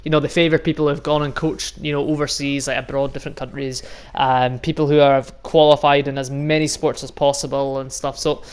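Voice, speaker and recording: A young Scottish man from the Highlands in his twenties, talking casually to his viewers. He rambles, swears freely and laughs often. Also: level tone, a little fast, hardly any pauses, smooth. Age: 20s. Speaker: vlogger and youth football coach. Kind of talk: casual monologue to camera.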